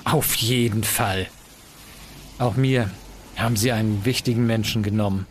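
A man's voice: fairly low pitch, steady tone, a little slow, slight background noise, natural voice. An actor playing a grave, wary, bereaved man in a German audio drama. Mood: grave, suspicious.

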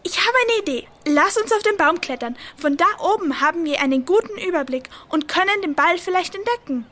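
A woman's voice: very high-pitched, lively intonation, a little fast, fairly bright tone. A woman playing an eager, naive little boy squirrel in a children's audio drama, with a bubbly, impatient, childlike delivery. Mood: searching.

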